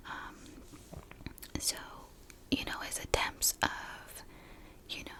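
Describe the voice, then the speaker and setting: A woman whispering a true crime story in a soft, breathy ASMR style, unhurried and informal, like chatting to viewers. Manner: unhurried, informal, conversational narration.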